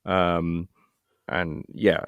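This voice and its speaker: A man's voice: low-pitched, flat delivery, drawn-out words, smooth, no echo, dull audio. A middle-aged British man chatting casually as an interview guest, self-deprecating and thinking aloud as he rambles. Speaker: guest, actor and writer.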